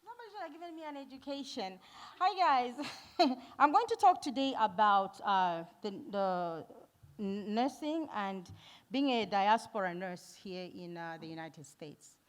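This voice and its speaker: A middle-aged woman from Zambia, a nurse giving a conference talk, earnest and warm, laughing often and pausing with fillers. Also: mid-range voice, lively intonation. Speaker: nurse and foundation founder speaking at an event. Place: event hall.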